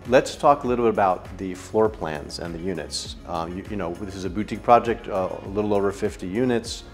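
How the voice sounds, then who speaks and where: A man in his forties hosting a relaxed property tour, asking questions and affirming what he hears. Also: deep voice, fairly quick, soft background music. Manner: easygoing, asks questions, affirming fillers. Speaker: real estate host. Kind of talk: conversational property tour interview. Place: show apartment kitchen, indoors.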